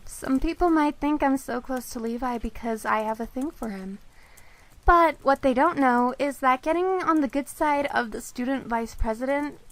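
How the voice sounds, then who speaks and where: A woman's voice: high voice, moderate pace. A woman playing a cunning, cheeky teenage schoolgirl in an audio drama. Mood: sly, confident.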